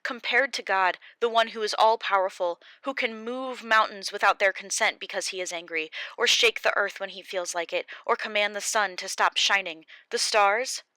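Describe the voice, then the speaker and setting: A woman's voice: mid-range voice, moderate pace, hardly any pauses, pushed and bright, smooth, dry recording, voice pushed up, lightly acted. A woman voicing Job, an anguished, defiant old man, in an impassioned, raised pleading delivery for an audiobook. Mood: impassioned defiance. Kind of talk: audiobook character reading.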